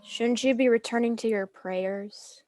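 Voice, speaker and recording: A woman's voice: fairly high pitch, steady tone, unhurried, a little mellow, soft background music, close to an everyday voice. A woman playing a proud, defiant young nun in a dramatic script reading, commanding and emphatic. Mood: curt, guarded.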